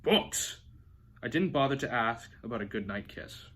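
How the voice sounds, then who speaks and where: A man reading a children's storybook aloud as an expressive narrator. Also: medium pitch, brisk. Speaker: storybook reader.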